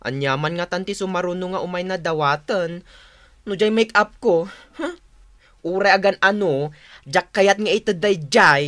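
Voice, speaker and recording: A woman's voice: on the low side, normal speed, fairly bright tone, natural voice. A woman playing an exasperated younger sibling who keeps house in a rural family, in a radio drama with an Ilocano accent.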